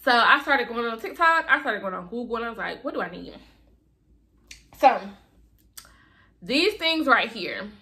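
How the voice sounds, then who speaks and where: A young woman vlogging to camera from her bedroom, chatty and expressive, with a slight lisp. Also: on the high side, moderate pace, long pauses. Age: young adult. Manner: chatty, expressive, lots of fillers. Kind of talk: casual chat to camera. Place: bedroom, indoors. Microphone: camera in front of her.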